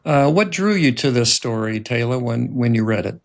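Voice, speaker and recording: A man speaks as an interviewer in a conversational, slightly halting style. His voice sits at a middling pitch with a noticeable gravelly creak. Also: lively intonation, slight background noise. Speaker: interviewer, host.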